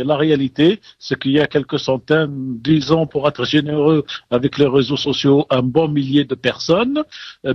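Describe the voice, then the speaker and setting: Male field correspondent, Kabyle Algerian accent, slightly high voice, rambling delivery on a lo-fi line. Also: husky, dry recording. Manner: digressive, repeats words, coughs. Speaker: correspondent reporting live. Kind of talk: live radio report.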